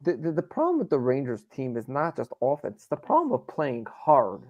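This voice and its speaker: A man's voice: mid-range voice, wildly swooping pitch, brisk, no room sound, highs cut off. A man from New York arguing hockey opinions emphatically in a casual live stream, often repeating himself for emphasis. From New York, United States. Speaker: sports fan show panelist. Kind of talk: casual live sports debate.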